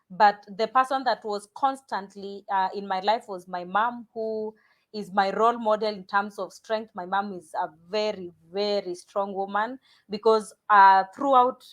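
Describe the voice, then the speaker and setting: Kenyan woman recounting her life. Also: medium pitch. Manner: reflective, hesitant, many fillers and restarts. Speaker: guest. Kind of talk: personal life story interview.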